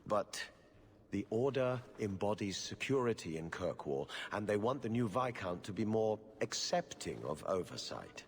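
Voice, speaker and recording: A weary, harried bureaucrat in a video game. His deep voice rises and falls with formal, dry exasperation in long reverb. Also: sing-song, normal speed. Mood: grave, warning. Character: weary, harried, sardonic male city official. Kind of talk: video game cutscene acting.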